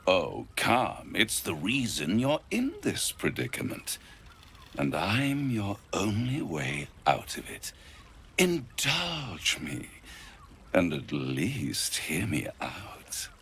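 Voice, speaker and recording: An actor playing a suave, scheming devil in a video game, speaking in a silky, grandiose, theatrical manner. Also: male voice, low-pitched, huge pitch swings, slow, gravelly, a bit bright, breathy edge, heavily acted. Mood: smug, coaxing. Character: suave, scheming male devil offering bargains. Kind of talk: video game cutscene acting.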